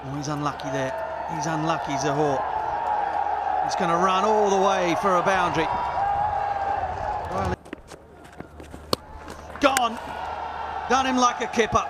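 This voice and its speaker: Man, live cricket commentary, high voice, exclamatory play-by-play, crowd noise behind. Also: a little slow, long pauses. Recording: loud music and crowd behind. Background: music and crowd noise.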